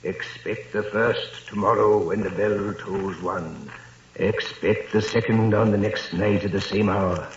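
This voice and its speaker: An actor playing the ghost of a dead business partner, solemn and declaiming warnings in a 1930s radio drama. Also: male voice, on the low side, steady tone, unhurried, slight background noise, natural voice. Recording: echoey, dull old radio recording.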